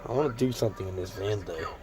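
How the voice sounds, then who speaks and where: A hoarse young man, talking casually and swearing freely while vlogging inside his van. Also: fairly low pitch, fast talker. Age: 20s. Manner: laid-back, swears freely, repeats himself. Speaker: van-dwelling photographer vlogger. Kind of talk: casual rambling vlog talk. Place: inside a small parked van. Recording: noisy, loud diesel heater hum.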